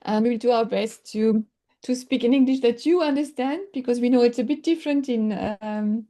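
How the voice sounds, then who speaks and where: A woman with a French accent lecturing in English over a video call, pausing often and searching for words with many fillers. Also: fairly high pitch, warm tone. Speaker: workshop lecturer. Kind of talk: online teaching session.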